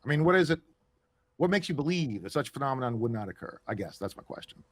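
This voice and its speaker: A man's voice: medium pitch, big pitch swings, fast. A man co-hosting a podcast discussion, asking probing questions with restarts. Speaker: podcast co-host.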